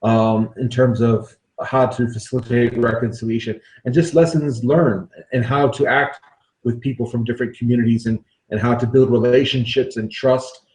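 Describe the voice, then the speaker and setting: A man hosts a conversational podcast, speaking at a middle pitch. He talks in a halting, reflective way, padding his thoughts with ums and restarts. His voice carries a noticeable room echo. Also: fairly even intonation, a little mellow. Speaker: podcast host. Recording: roomy echo.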